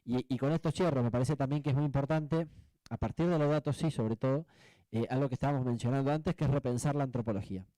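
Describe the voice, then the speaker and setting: Argentine man, very mellow dark voice. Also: mid-range voice, no room sound. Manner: reflective, halting, often seeking agreement with tag questions. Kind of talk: spontaneous panel discussion answer.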